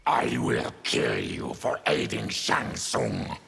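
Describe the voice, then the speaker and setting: Raspy, gravelly monster warrior. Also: male voice, sits low, wildly swooping pitch, fairly bright tone, heavily acted. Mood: hostile, grim. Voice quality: harsh, raspy growl. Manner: grim, deliberate, formal. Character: bitter diseased Tarkatan monster warrior, proud ex-soldier. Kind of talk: dramatic video game dialogue.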